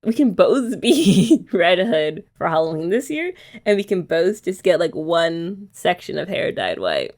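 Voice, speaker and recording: A woman's voice: lowish voice, big pitch swings, measured pace, few pauses, a little mellow. A young woman doing girlfriend roleplay ASMR, chatty and teasing with rambling asides. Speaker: ASMR creator.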